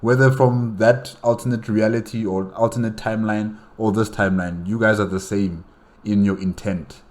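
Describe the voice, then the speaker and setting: A man's voice: on the low side, fairly even intonation, normal speed, a little mellow. A man giving casual solo reaction commentary, asking rhetorical questions and addressing characters directly.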